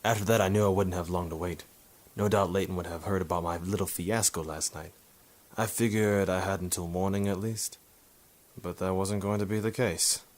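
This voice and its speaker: A man's voice: deep voice, steady tone, normal speed, natural voice. A man playing a hard-boiled, sardonic photographer turned sleuth in a 1950s noir radio drama, with wry, tough-talking delivery. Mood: wry narration.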